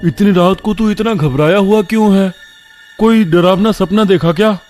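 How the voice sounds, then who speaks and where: A man voicing a worried, excitable young man who questions urgently in a horror cartoon story. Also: sits high, moderate pace, soft background music, voice pushed up, natural voice. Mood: alarmed, scolding.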